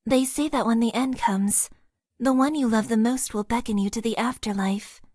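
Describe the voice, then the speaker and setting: A woman's voice: a little high, normal speed, dry recording, clean studio sound, lightly acted. A woman playing a stiff, shy mecha-pilot girl. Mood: earnest. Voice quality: stiff, overly formal phrasing. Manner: earnest, shy and deadpan, nervous stammers. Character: formal, awkward young female mecha pilot, emotionally inexperienced. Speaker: dating-game character. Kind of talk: game voice lines. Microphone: close studio mic, dry.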